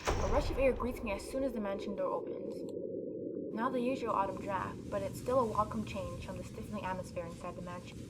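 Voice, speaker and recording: A woman's voice: medium pitch, a little fast, very noisy. Woman narrating a visual novel. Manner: expressive first-person narration. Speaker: game narrator. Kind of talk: reading a visual novel aloud. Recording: background music.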